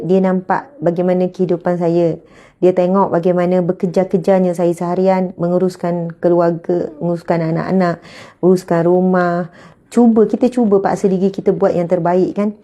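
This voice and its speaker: A woman's voice: fairly low pitch, dark tone. A woman in her late thirties with a Malaysian Malay accent, giving a chatty religious talk as a teacher, often adding pious exclamations. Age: 30s.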